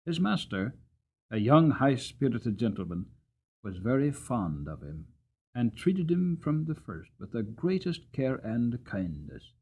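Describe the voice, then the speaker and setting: A man narrating an audiobook in a dramatic, expressive and deliberate storybook style. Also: medium pitch, dull audio, a little hiss or hum.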